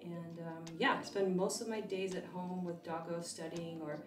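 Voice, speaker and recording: A young woman in her twenties whose first language was Russian talks easily and a little low, with plenty of fillers and restarts. She sounds set back from the mic in an echoing room, with music playing beneath her voice. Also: normal speed, recorded from a distance. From California, USA. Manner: relaxed, chatty, with frequent fillers and restarts. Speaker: interview guest, vet student. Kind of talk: casual interview chat.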